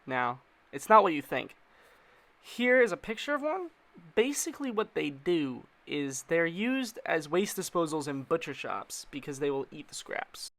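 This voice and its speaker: A man's voice: on the high side, very animated, measured pace, fairly bright tone. A man narrating a casual explainer video in an informal, slangy way, with playful asides.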